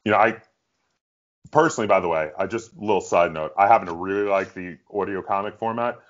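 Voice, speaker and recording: A man with a low-pitched voice hosts a casual interview. He talks conversationally as he puts questions and adds comments. The sound is somewhat dull and lo-fi. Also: fairly quick. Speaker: interviewer, online show host.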